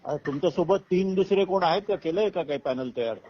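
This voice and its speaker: A man's voice: high-pitched, fairly quick, noisy background. A man talks informally on the phone, giving instructions in short, directive turns. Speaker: political party aide. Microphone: phone call. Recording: muffled recorded phone call.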